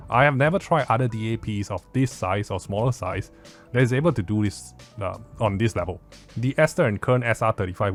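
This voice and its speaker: Guy reviewing tech casually, really into it, emphatic, medium pitch, bit of music in the back. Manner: enthusiastic, emphatic, repeats words for stress. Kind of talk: casual spoken product review.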